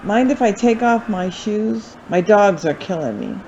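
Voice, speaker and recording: A woman's voice: mid-range voice, expressive, unhurried, a little mellow, muffled recording, slight background noise. Woman acting a breezy, slangy girl hitchhiker. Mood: delighted, breezy. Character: chatty, slangy, easygoing female hitchhiker. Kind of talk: suspense radio play acting in period American slang.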